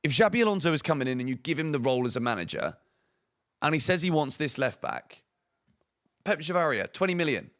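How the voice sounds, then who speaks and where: A man with a croaky, hoarse voice, giving opinionated football transfer news commentary on a fan channel, full of emphatic rhetorical questions. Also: fairly high pitch, sing-song, a little fast, a bit bright.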